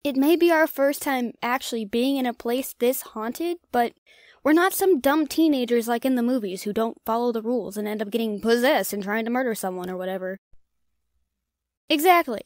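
Woman acting brash young ghost hunter, teasing, higher voice, dry close mic. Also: moderate pace, a little mellow, no echo, lightly acted. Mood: reassuring. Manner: brash, teasing, talks herself up. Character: cocky young woman ghost-hunting vlogger. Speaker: voice actor in an audio drama. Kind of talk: audio drama acting.

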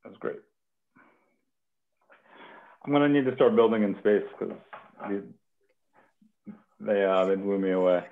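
A man's voice: fairly low pitch, measured pace, creaky, pauses often. A man in his thirties hosting a poetry reading from home over a headset on a video call. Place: home kitchen. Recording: muffled phone-like video call.

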